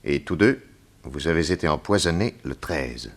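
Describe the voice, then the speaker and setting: An actor playing a shrewd, authoritative private detective with dry irony in a 1960s radio drama. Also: male voice, a bass voice, lively intonation, moderate pace, very noisy. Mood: probing.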